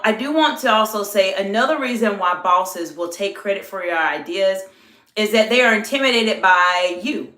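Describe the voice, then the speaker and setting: A middle-aged woman executive coach talks live to her viewers in a plain, middle-pitched voice that sits lower than usual because she is under the weather. She greets the chat and explains things in a steady coaching way. The room has a noticeable echo. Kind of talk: live-stream talk to chat. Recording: echoey room.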